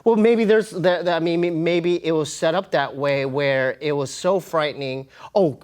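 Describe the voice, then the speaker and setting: A man telling personal stories as an interview guest, in an informal, slangy way with halting, repeated phrases. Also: fairly high pitch, a little mellow. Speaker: interview guest, comedian and podcast host. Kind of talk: casual animated storytelling.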